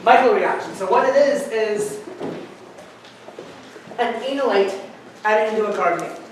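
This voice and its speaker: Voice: mid-range voice, moderate pace, very noisy. A university chemistry lecturer giving a classroom lecture, chatty and prone to joking asides. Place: large lecture hall. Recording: echoey lecture hall from far off.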